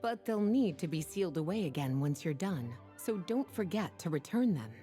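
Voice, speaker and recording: A woman voicing a poised, wry librarian in a video game who speaks in a languid, gently teasing way. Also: on the low side, very animated, normal speed. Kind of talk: game voice acting. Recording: soft game music underneath.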